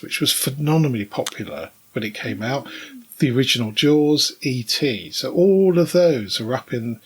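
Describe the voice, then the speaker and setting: A middle-aged or older British man co-hosting a casual podcast, telling anecdotes in a measured way with wry asides. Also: mid-range voice, slightly rough. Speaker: podcast co-host.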